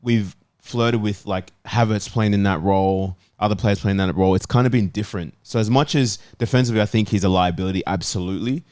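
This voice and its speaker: A man's voice: lowish voice, fast talker, hardly any pauses, a little mellow. A man on a casual sports panel, emphatic and repeating his points as he debates. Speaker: football talk show panellist.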